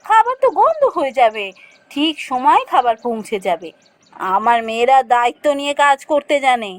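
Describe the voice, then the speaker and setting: Earnest, dutiful village wife for a fairy-tale cartoon, played by a woman: very high voice, lively melodic swings, dry close sound. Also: a little fast, dry recording. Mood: calm, assured.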